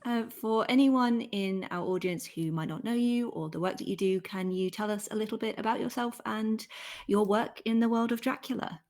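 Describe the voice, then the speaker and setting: A woman's voice: medium pitch, normal speed, darkish tone. A woman hosting an interview, asking questions in a conversational style. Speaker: interviewer, host.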